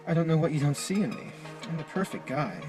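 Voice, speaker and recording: A man's voice: fairly high pitch, fast talker. A young man giving a monologue to camera. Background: clear music.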